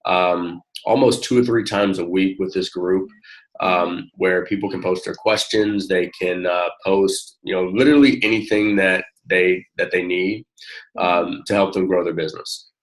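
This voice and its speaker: A man speaking informally and encouragingly as a coach on a one-on-one call, often halting as he finds his words. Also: low voice, fairly even intonation, poor audio. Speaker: network marketing coach.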